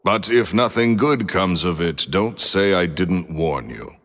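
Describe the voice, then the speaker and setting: A man's voice: low-pitched, expressive, unhurried, slight background noise. An actor playing a condescending man who issues a smug, cold warning. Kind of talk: video game dialogue.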